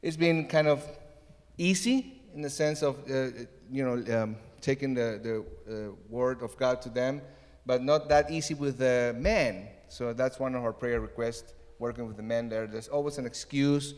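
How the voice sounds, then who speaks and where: A middle-aged man from Ecuador, a missionary giving an earnest church update with many pauses and fillers. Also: medium pitch, a little mellow, in a large hall.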